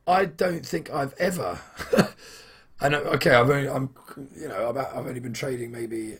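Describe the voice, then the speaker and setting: A young man with a husky, hoarse voice, chatting casually in a weekly trading vlog, rambling with many fillers and restarts. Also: medium pitch, moderate pace. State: hoarse from shouting at football. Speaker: trading vlogger.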